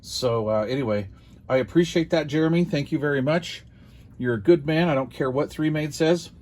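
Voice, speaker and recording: A man's voice: fairly high pitch, normal speed, a little mellow. An older American man chatting casually as he hosts a hobby unboxing video, rambling along in a folksy, self-deprecating way.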